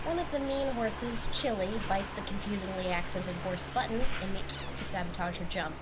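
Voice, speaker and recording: A woman narrates a recap as a critical commentator with a wry manner. Her pitch is middling, and there is clear background noise under her voice. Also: fairly even intonation, normal speed. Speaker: video commentator reviewing a cartoon.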